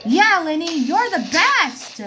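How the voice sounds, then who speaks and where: A woman's voice: extremely high pitch, sing-song, slow, background noise. A young woman doing casual game streamer commentary, with simple, exclamatory reactions. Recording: loud game music behind the voice.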